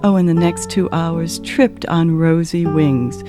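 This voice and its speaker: A woman narrating a classic story in an expressive, measured and dramatic scripted style. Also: on the low side. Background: acoustic guitar music.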